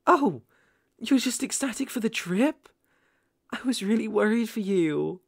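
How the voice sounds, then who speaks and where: A man playing a possessive, lovesick boyfriend in an ASMR roleplay, cooing with gentle condescension and quiet menace, often hushed and breathy. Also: sits high, expressive, unhurried, no room sound, clean studio sound, exaggerated voice. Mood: pleased, eager. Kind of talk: ASMR roleplay, dramatic monologue.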